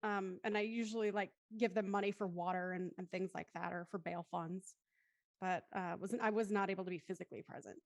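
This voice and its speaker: A woman's voice: mid-range voice, steady tone, brisk, dry recording, studio quality. A woman hosting a casual online panel discussion about a show. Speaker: host of an online audio drama show.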